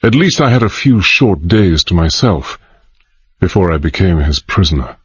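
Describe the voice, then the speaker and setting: An actor playing a resolute middle-aged gentleman adventurer, narrating his exploits in measured, formal, old-fashioned phrasing. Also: sits low, sing-song, breathy edge, no echo, dull audio, exaggerated voice. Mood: grave, reflective. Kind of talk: dramatised first-person narration and dialogue.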